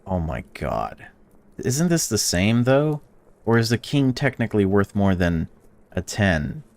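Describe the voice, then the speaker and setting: A young man with a deep, low voice reads a horror visual novel aloud and reacts as he plays. His pitch moves a lot between calm reading and sudden loud outbursts. He swears freely while he talks to the game. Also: unhurried. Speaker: gaming YouTuber. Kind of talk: let's play commentary and reading aloud.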